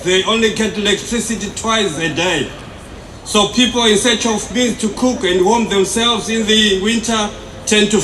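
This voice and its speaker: A man with a Zimbabwean accent giving a prepared activist speech in deliberate, formal phrasing. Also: sits high, a bit bright. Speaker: human rights activist speaker. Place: outdoor city centre rally. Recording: echoey outdoor PA with noise.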